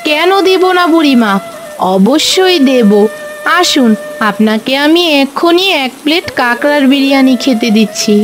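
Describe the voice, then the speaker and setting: A woman's voice: sits high, lively intonation, normal speed, faint music behind. A woman voicing a kindly, welcoming village sister-in-law who runs a small eatery in a cartoon fairy tale.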